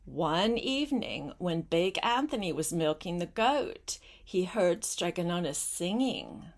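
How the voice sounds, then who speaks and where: An older woman reading a storybook aloud as a grandmother, expressive and often turning to speak directly to a child. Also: on the low side, takes it slowly.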